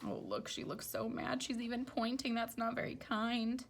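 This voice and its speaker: A woman's voice: on the high side, little pitch movement, moderate pace, vocal fry, a little hiss or hum. A woman teacher reading a children's storybook aloud expressively, with chatty explanatory asides for young listeners.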